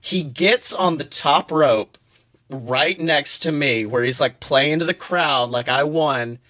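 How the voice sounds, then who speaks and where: High, bright-voiced man swapping animated podcast stories. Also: takes it slowly. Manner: animated storyteller who swears freely and acts out quotes. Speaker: podcast host. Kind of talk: casual comedic podcast chat.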